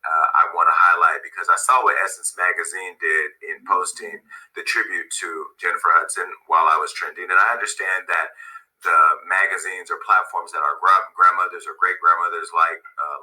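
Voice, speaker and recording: Deep voiced guy talking straight to camera from his office, measured, hammers his points, pushy edge. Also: pushed and bright, low-quality recording. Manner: deliberate and measured, with repetitive emphasis. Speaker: media founder giving a video statement. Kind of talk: direct-to-camera monologue.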